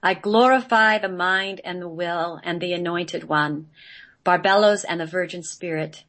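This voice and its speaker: Woman as solemn divine being, lowish voice, reverent measured reciting, radio play. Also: fairly bright tone. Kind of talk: amateur radio play reading.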